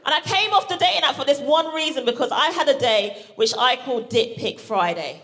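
A woman from Barbados performing a live stand-up comedy set, punchy and playful, shouting out her punchlines. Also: sits high, lively intonation, fairly quick. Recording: live stage recording with audible background noise.

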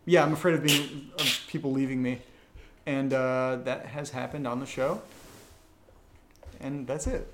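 A man's voice: medium pitch, fairly even intonation, a little slow, pauses often, a little hiss or hum. A man co-hosting a casual podcast Q&A, joking around and steering the chat back to the questions. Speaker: podcast host reading patron questions. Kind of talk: casual Q&A banter.

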